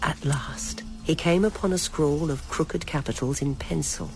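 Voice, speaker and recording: A narrator reading a novel aloud in a measured, literary storytelling manner. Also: medium pitch, rough recording. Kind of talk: scripted audiobook-style narration of a novel.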